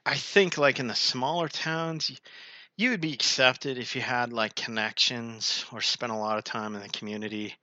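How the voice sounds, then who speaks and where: A man's voice: lowish voice, sharp tone, dry recording. A man hosting a solo podcast, rambling through his thoughts with lots of fillers and tangents. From United States. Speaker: podcast host. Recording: dull lo-fi sound, dry.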